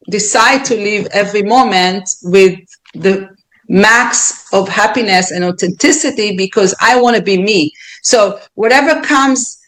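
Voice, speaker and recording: A woman's voice: medium pitch, a bit bright, rough recording. A woman with an Israeli accent speaking as a podcast guest, earnest and reflective, often restarting and repeating words as she thinks aloud. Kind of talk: reflective interview talk.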